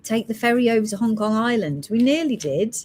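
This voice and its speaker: A woman hosts a casual live sales stream for gemstone beads. Her voice sits fairly high and moves up and down a lot as she chats. She keeps up a friendly patter, reading out stock codes and prices and thanking her helpers. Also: animated, a little fast, darkish tone.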